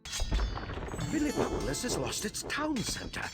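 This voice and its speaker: A man playing a frightened, high-strung Roman who pleads with invaders in a strategy game. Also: huge pitch swings, slow pace, loud music behind the voice, heavily acted. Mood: exasperated pleading.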